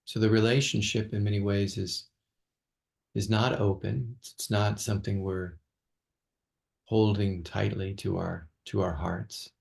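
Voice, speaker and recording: A man guides a meditation in a low voice with a lot of vocal fry. His delivery is very slow and halting, with long gaps between phrases and words repeated as he goes. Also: flat delivery. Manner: halting, with long pauses and soft repetitions. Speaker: meditation teacher.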